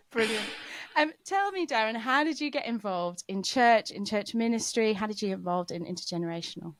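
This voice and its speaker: A woman hosting a church podcast who reads a scripted intro and then chats with a guest, enthusiastic and quick to laugh. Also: fairly high pitch, lively intonation, no echo. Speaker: podcast host.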